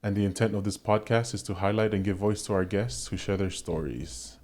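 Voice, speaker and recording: A man's voice: on the low side, fairly even intonation, fairly quick, darkish tone. A man hosting a podcast. He reads a scripted opening, then asks the guest direct questions. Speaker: podcast host. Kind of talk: podcast interview.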